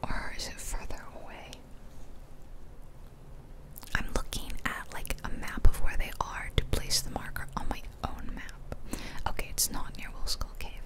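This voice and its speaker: Lady doing asmr game commentary, all soft whispers, really slow, chatty and musing, right up on the mic. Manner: casual, musing. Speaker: game streamer. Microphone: close microphone, ear-to-ear whispering.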